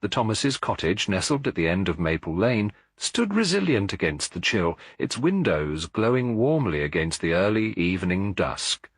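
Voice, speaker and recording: A man reading a cozy mystery story as a scripted audiobook, in a measured, literary storytelling manner. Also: deep voice, big pitch swings, slightly rough, no room sound, studio quality. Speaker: narrator.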